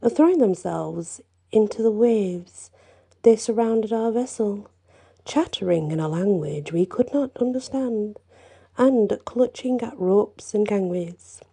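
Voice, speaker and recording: A woman storyteller reading a folktale aloud in first person, hushed, with whispered passages and casual asides. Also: mid-range voice, lively intonation, slow pace, vocal fry, breathy edge.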